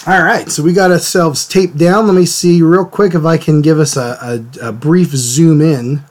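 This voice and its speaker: A man hosting a live drawing stream, a little hoarse from a cold, chatting casually and greeting viewers by name. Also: a little high, fairly even intonation, moderate pace, a little mellow. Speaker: art stream host. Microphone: desk mic, sometimes turned away from it.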